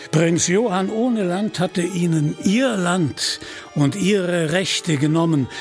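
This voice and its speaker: Slow, higher-voiced man narrating a story.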